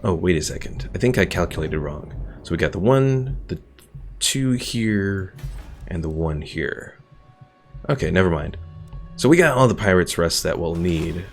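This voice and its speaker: A man's voice: low-pitched, animated, moderate pace. A man streaming a game with casual live commentary, thinking aloud as he plays. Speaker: gaming streamer.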